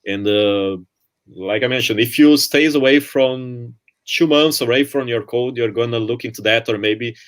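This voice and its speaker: A man, ending his conference talk and answering questions in a relaxed, hesitant way with frequent "uh"s over a video call. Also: medium pitch, normal speed. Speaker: conference speaker. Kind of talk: presentation and Q&A answers.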